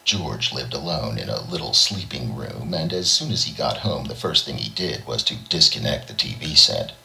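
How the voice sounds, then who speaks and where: A male narrator with an extremely low, gravelly voice reads a short story dramatically. His delivery moves widely in pitch. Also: animated, moderate pace, sharp tone, poor audio. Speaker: audiobook reader.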